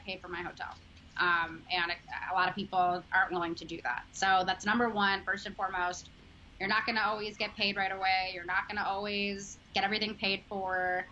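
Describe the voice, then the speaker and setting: Bright-voiced Chicago woman, lo-fi. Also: mid-range voice. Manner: chatty, filler-heavy, earnest. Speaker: sports journalist guest. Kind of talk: casual interview chat. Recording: dull lo-fi audio, faint noise.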